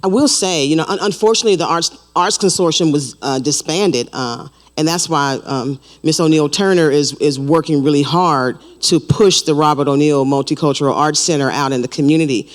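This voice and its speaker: A male panelist answers in a steady, informative way. His slightly high voice carries a long reverb in a big hall. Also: a little fast, few pauses.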